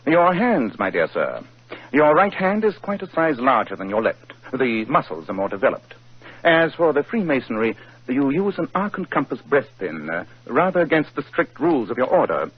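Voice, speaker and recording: A brisk, superior detective with a husky edge. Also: male voice, mid-range voice. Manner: crisp, brisk, lively delivery. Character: keen, brisk male detective, sharp and superior. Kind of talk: 1940s radio acting. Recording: muffled old radio broadcast.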